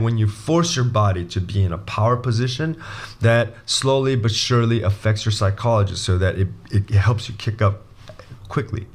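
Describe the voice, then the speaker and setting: Energetic male coach from brazil, casual jokey lesson, stumbles and restarts a lot. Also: medium pitch. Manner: animated, restarts sentences, jokey asides. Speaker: MBA admissions coach.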